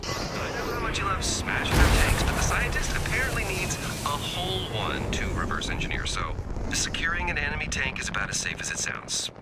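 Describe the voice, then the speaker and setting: An actor playing a flippant, scheming, laid-back ex-spy buddy in a video game, talking in a wry, slangy, rambling way. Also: male voice, on the high side, very animated, a little fast, very noisy. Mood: wry, upbeat. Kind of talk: scripted game dialogue, casual chatty.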